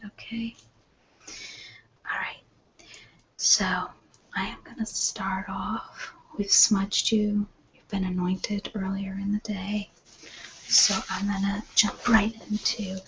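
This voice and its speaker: A woman voicing a gentle retreat healer in a whispered ASMR roleplay, soft and reassuring. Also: medium pitch, gravelly, slightly rough, dull audio, full character voice. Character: gentle, soothing female retreat healer attending a client.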